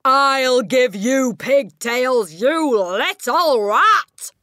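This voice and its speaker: A woman voicing a huge, brutal headmistress who barks and shouts at children. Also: on the low side, measured pace, no echo, clean studio sound, natural voice. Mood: furious. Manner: shouting, barking.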